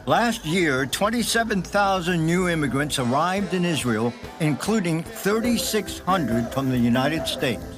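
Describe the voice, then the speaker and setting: A man narrating a television news report in a calm, explanatory way. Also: fairly high pitch, unhurried, few pauses, over music. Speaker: news correspondent.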